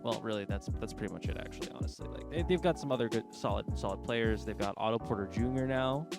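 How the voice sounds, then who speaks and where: Congested man with a cold, low voice. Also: fast talker, creaky, over music. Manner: rambling and informal, thinking aloud. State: congested from a cold, sore throat. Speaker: basketball video host. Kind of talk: casual sports commentary.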